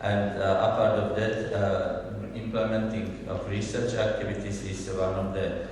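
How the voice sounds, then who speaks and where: A man's voice: on the low side, flat delivery, measured pace, darkish tone, a little hiss or hum. A man from Slovakia giving a conference presentation, hesitant and full of filler sounds. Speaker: conference speaker. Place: large conference hall. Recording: echoey hall from far off.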